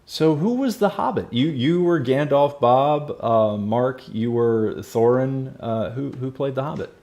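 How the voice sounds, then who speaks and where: A man's voice: mid-range voice, lively intonation, unhurried, warm tone. A man hosting a podcast, upbeat and friendly, asking his guests questions in casual chat. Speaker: podcast host.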